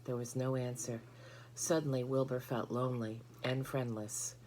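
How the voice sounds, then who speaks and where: A woman's voice: very deep voice, level tone, moderate pace, dark tone. A woman narrating a children's story from a book as a storybook reader.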